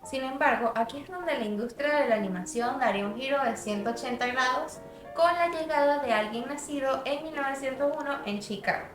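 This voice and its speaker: Young female narrator, high voice, scripted documentary read, roomy sound over music. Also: fairly quick. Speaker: student narrator.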